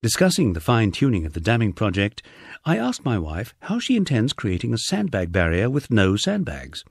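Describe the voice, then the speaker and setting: A man's voice: on the low side, wildly swooping pitch, moderate pace, gravelly, husky, no room sound, studio quality. A man narrating a scripted first-person memoir as an audiobook, in a wry storytelling manner.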